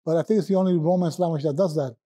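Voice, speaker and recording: A man with a Puerto Rican accent, a poet telling stories in a rambling, reminiscing way with filler pauses. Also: a little high, warm tone. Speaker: poet guest. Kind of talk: relaxed conversational storytelling.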